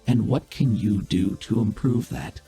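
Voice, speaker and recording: A man voicing a cool, calculating female AI hologram who deals in information, speaking in a dry, measured way. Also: on the low side, dark tone, faint music behind. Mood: probing.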